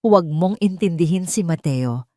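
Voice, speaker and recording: A woman's voice: lowish voice, lively intonation, moderate pace, mellow, no room sound, clean studio sound, lightly acted. Caring, patient leading man read by a woman. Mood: concerned. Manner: concerned, reassuring. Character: caring, patient male suitor in a romance story.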